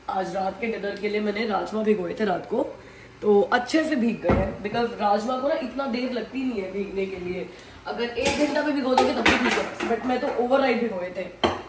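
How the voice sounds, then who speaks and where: A woman from India with a naturally husky voice, hoarse and strained today, chatting casually to camera as a family vlogger and laughing often. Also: fairly high pitch, a little mellow, echoey room, a little hiss or hum.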